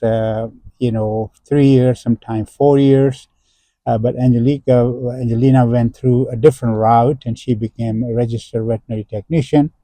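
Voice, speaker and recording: Older man, interview host, hesitant fillers, dark mellow tone, dry close mic. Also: medium pitch, dry recording. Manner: halting, many "uh" fillers, explanatory. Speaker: interview host, professor emeritus. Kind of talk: unscripted interview.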